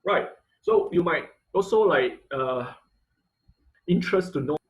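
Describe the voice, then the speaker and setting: A man's voice: a little high, animated, measured pace, highs cut off. A man teaching a live online coding tutorial as instructor, often stopping and rephrasing mid-sentence. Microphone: computer mic on an online class call.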